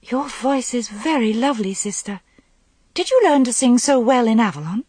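A woman voicing a timid, wistful young queen who is gentle, prim and piously modest. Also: a little high, animated, moderate pace, slightly rough, no room sound, voice pushed up. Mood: admiring.

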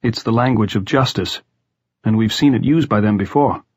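A man's voice: on the low side, normal speed, darkish tone, slightly rough, dry recording. A man reading an audiobook, voicing a thoughtful, wry grown man. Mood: calm.

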